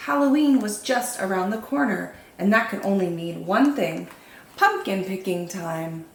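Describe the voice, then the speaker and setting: A woman hosting storytime, reading picture books in an expressive, animated way for young children. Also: medium pitch, measured pace, gravelly, echoey room, slight background noise. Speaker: children's storytime host and reader. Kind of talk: expressive picture-book narration.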